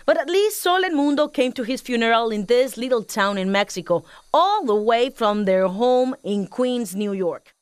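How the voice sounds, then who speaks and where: An actress playing a wry, judging narrator in a radio soap opera, commenting conversationally on the story. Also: female voice, fairly high pitch, animated, normal speed, smooth, no room sound, natural voice.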